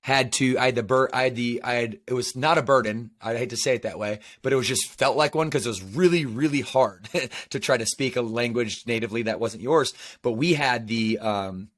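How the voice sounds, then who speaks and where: A man's voice: mid-range voice, fairly quick, fairly bright tone. An American man hosting a casual interview, enthusiastic and talkative, asking long rambling questions. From United States. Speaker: podcast host.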